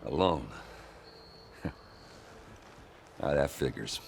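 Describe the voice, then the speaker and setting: A man playing a gruff, battle-worn warrior in a video game, loyal and boisterous, with joking bravado and halting delivery. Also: a bass voice, lively intonation, slight background noise, exaggerated voice. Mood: resigned, wry. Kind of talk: dramatic video game cutscene acting. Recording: loud music behind the voice.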